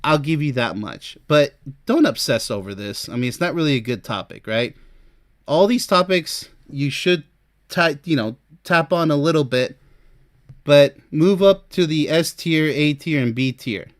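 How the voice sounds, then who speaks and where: A man's voice: a little high, animated, unhurried, no echo, clean studio sound. Emphatic, chatty man giving advice. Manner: chatty and emphatic, addresses listener directly. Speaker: relationship advice host. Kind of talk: informal advice talk to camera, ranking topics.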